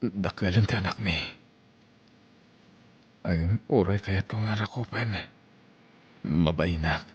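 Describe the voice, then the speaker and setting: A man's voice: deep voice, slow pace, breathy edge, lo-fi sound, exaggerated voice. A man playing a regretful, humble estranged father in a radio drama, with an Ilocano accent. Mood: regretful, pleading. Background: music bed.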